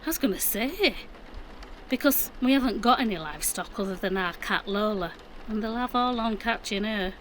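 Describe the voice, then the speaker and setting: A woman's voice: mid-range voice, expressive, moderate pace, fairly bright tone, slight background noise, lightly acted. A middle-aged woman playing a defensive, practical mum in an audio drama, with a Yorkshire accent and matter-of-fact delivery. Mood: indignant. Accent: Yorkshire English. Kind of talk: naturalistic audio drama acting.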